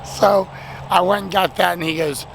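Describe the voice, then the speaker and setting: A man's voice: high voice, fairly even intonation, normal speed, creaky, fairly bright tone. A man, his voice nearly gone from talking all weekend, chatting in a rambling, self-deprecating way at a roadside rest stop. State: voice nearly lost, hoarse. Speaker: vlogger. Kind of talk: casual thank-you vlog. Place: outdoors at a highway rest stop, traffic nearby. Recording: outdoor traffic noise.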